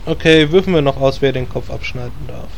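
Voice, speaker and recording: A man's voice: mid-range voice, fairly quick, vocal fry, slight background noise, natural voice. A man playing a casual, grumbling druid adventurer in a scripted comedy audio drama.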